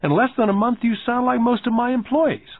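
A man voicing a stern, calm businessman mentor who lectures pointedly and in measured phrases in an audiobook. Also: very high-pitched, dry recording, voice pushed up. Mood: wry. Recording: muffled, phone-like narrow band.

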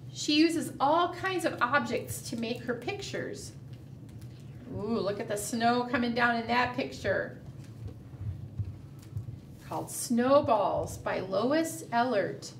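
A middle-aged woman leading a preschool storytime, animated and sing-song, coaxing small children with questions. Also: sits high. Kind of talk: storytime read-aloud and chat addressed to small children. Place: small indoor room, seated at a table. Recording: roomy, distant mic, faint room noise.

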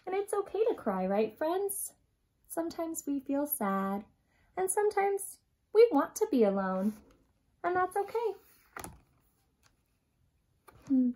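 A woman in her thirties reading a picture book aloud to young children in an expressive, dramatic way, stressing key words and addressing her listeners directly. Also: extremely high pitch. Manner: dramatic gasps and emphatic, drawn-out key words. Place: small furnished room at home, indoors.

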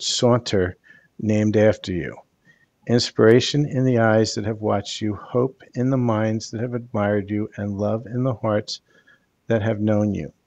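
A soft-spoken older man leads a live card reading at an easy, unhurried pace. His voice sits fairly low and stays calm and soothing throughout. He is heard close on a headset mic. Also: creaky, lo-fi sound. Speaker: tarot card reader.

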